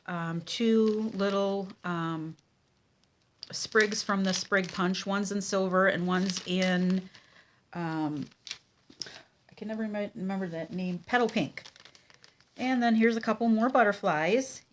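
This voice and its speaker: A woman with a cold and a nasal, congested voice, teaching a casual card-making tutorial at her craft table, with many ums and pauses. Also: medium pitch, slight background noise.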